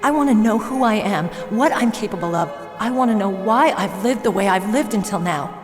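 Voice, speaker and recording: Young female soldier for a game, played by an actress; earnest, measured, mid-pitched, in a reverberant hall. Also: animated, soft background music, lightly acted. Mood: reflective, wistful. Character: young female soldier, earnest, self-doubting yet determined. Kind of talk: video game voice acting.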